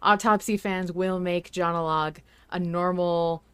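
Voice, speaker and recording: Smooth-voiced woman hosting a fan podcast. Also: on the low side, steady tone, no echo. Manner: chatty, reflective, quick to laugh. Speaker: podcast host. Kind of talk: casual fan podcast chat.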